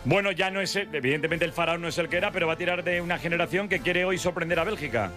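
A man's voice: a little high, quick pace, sharp tone, soft background music. A man with a Castilian Spanish accent hosting a live sports radio show.

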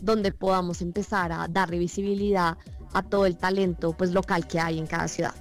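A woman's voice: lowish voice, level tone, fairly quick, soft background music. A woman with a Colombian accent speaking conversationally as an event organiser being interviewed.

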